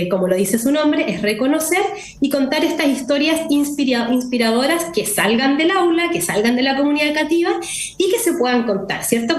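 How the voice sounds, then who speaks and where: A woman with a Chilean Spanish accent, joining remotely as an interview guest and explaining in a steady way, often adding tag questions. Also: high voice. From southern Chile. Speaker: guest, executive director of a nonprofit. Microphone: remote connection. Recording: echoey room with faint noise.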